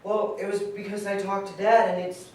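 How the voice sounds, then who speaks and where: A man's voice: sits high, level tone, a little fast, close to an everyday voice. A man playing a candid, wry young son in a staged reading, talking casually. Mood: wounded, reflective. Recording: very echoey hall, distant mic, noisy.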